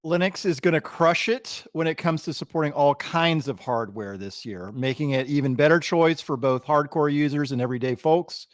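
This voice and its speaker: A man's voice: fairly high pitch, normal speed, hardly any pauses, no echo, studio quality. A man co-hosting a casual podcast in a laid-back, joking way. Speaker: podcast co-host.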